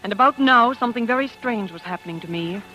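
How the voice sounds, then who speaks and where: A shaken young wife recounts a dark discovery in 1940s radio suspense style. Her voice is high, with hushed, deliberate, dramatic phrasing. Music swells beneath her on an old radio recording. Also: expressive, poor audio. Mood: haunted, tense. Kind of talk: 1940s radio acting, first-person suspense narration.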